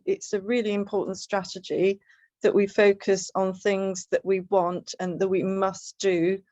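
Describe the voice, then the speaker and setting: A woman's voice: medium pitch, a little mellow, no echo. A middle-aged woman chairing an online meeting, thanking one speaker and introducing the next. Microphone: video call. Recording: dry, dull lo-fi sound.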